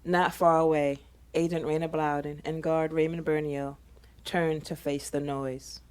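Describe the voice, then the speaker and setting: Woman narrator reading stage directions for an audio drama, pretty low voice, scripted. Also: flat delivery, unhurried, darkish tone, clear, resonant tone.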